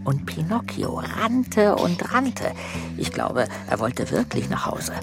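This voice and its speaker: An actor playing a suspenseful, involved storyteller in a children's radio play. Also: male voice, fairly high pitch, huge pitch swings, slow pace, gravelly, over music, exaggerated voice.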